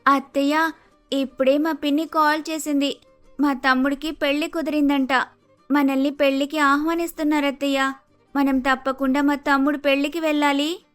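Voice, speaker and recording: Woman acting young cartoon daughter-in-law, very high voice, Andhra accent, polite, naive, exclamatory, soft music behind. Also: little pitch movement, moderate pace. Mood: eager. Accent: Andhra Telugu. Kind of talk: acted dialogue in animated moral story.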